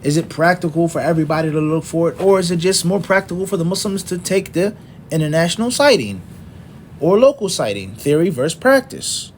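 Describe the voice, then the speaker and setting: Emphatic male lecturer, higher voice. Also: animated, brisk, a little hiss or hum. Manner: emphatic, lists points one by one with rhetorical questions. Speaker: Islamic teacher.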